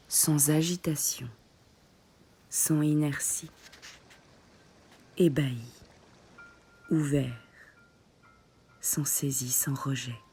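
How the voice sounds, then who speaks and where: A woman guiding a meditation in soft, breathy short phrases with long pauses, often close to a whisper. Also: sits low. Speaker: yoga nidra meditation guide. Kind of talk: guided meditation.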